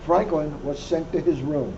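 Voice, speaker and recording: A police officer reading a children's picture book aloud, adding wry asides. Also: male voice, on the high side, normal speed. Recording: muffled lo-fi recording with faint hum.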